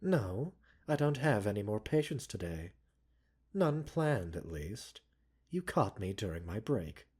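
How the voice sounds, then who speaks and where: A man playing a gentle, composed pharmacist doctor in an ASMR roleplay, speaking softly and soothingly in polite, formal phrases with mild chiding and teasing. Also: lowish voice, big pitch swings, unhurried, slightly rough, full character voice. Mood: mildly teasing. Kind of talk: ASMR roleplay audio drama.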